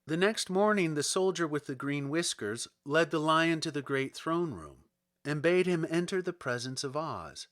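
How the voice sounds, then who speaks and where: A man reading a storybook aloud as narrator, in an expressive, deliberate manner. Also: on the high side. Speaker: audiobook narrator.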